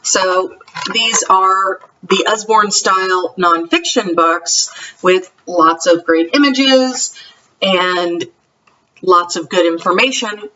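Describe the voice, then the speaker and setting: A woman's voice: mid-range voice, sharp tone, recorded from a distance, dull audio, slight background noise. A woman talking casually and enthusiastically, like a homeschool vlogger reviewing books. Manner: chatty, enthusiastic. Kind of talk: casual vlog book review.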